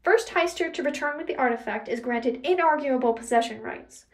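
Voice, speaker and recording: A woman's voice: high-pitched, fairly quick, distant mic. A woman voicing a hot-headed, easily flustered heister in a story, protesting in a heated, whiny way. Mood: indignant. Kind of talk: acted audio fiction narration.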